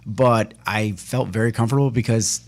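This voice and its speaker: A man's voice: lowish voice, fairly even intonation, moderate pace. A man chatting casually on a local radio talk show, rambling with many fillers and restarts as he reminisces.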